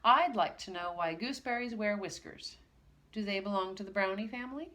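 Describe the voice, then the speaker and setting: A woman's voice: medium pitch, a little fast, sharp tone, pitched above the performer's own voice, close to an everyday voice. A woman voicing a cheeky little male brownie pupil in a storybook.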